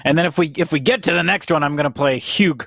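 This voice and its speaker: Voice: medium pitch, fast talker, vocal fry, fairly bright tone. A cast member of a cold-read show in casual banter, tossing out dry, wry quips.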